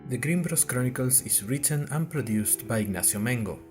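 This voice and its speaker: A man's voice: mid-range voice, expressive, a little fast, faint music behind. A man reading a scripted podcast outro as its writer and producer.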